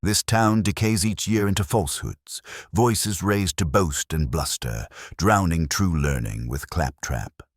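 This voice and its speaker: A man's voice: low voice, measured pace, hardly any pauses, slightly rough, no room sound, clean studio sound, voice pushed up. A man voicing a proud, bitter woman librarian in a mystery story, with a haughty, grandiose manner. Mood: bitter contempt.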